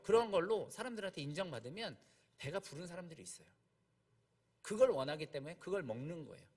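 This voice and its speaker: A man preaching a conversational sermon, explaining as he goes, repeating key points and posing rhetorical questions. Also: fairly high pitch.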